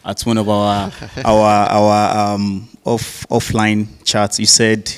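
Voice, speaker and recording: Male event host, lowish voice, informally putting questions to a guest live. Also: little pitch movement, takes it slowly, clear, resonant tone.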